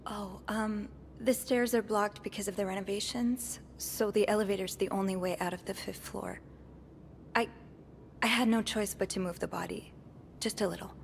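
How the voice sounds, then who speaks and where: A young woman playing an earnest, nervous hotel maid in a video game, speaking haltingly. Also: medium pitch, fairly even intonation, creaky, a little hiss or hum, natural voice. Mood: nervous, guarded. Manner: hesitant, halting. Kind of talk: naturalistic video game acting.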